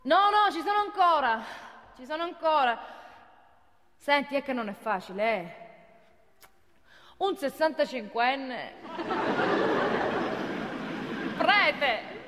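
An actress playing a flirtatious, excitable woman on a phone date in a comic stage monologue, coy, giggly and repeating herself. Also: high voice, takes it slowly, long pauses, exaggerated voice. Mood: flustered. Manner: coy, flustered, repetitive, giggly. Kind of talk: comic theatre monologue as one side of phone call. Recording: echoey theatre hall, clear background noise.

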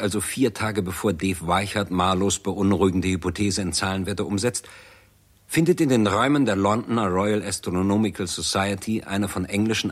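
A man reading scripted narration for a 1960s radio drama in a formal, documentary-like manner. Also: lowish voice.

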